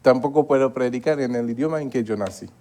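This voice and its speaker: A man's voice: medium pitch, quick pace, mellow. A man preaching a Bible study in a church, earnest and repeating himself, often asking his listeners to agree. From Haiti. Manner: earnest, repeats words, asks the congregation to agree.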